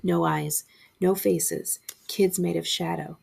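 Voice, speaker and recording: Low, creaky-voiced woman reading a story. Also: steady tone, slow, darkish tone. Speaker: librarian reading aloud. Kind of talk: expressive storybook narration of a horror tale.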